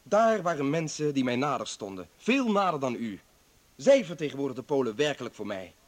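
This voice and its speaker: An actor in a radio play playing a bitter, defiant doctor who was a political prisoner, arguing with sarcasm and indignation. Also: male voice, medium pitch, big pitch swings, fairly quick, natural voice. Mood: bitter, sarcastic. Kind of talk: dramatic radio play acting.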